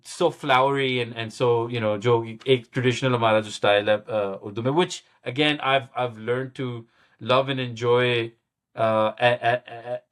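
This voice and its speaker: A middle-aged man with an ordinary mid-pitched voice, speaking as a guest in a relaxed interview. He talks in a halting way, restarting and repeating words as he gathers his thoughts, and often gives a small laugh at himself. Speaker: guest musician. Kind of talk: casual interview storytelling.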